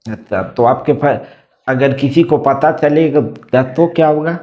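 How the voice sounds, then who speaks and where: A man with a fairly high voice talks casually as a vlog host handling a phone call. He is probing and circles back over his questions. The sound is somewhat dull and lo-fi. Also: measured pace.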